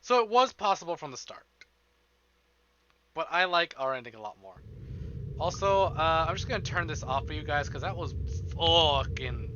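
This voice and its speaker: Expressive, higher-voiced man streaming a game. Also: muffled recording, noisy background. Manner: expressive, profane, talks to himself. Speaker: streamer playing a visual novel. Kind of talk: casual livestream commentary with dramatic read-aloud of game text. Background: game music.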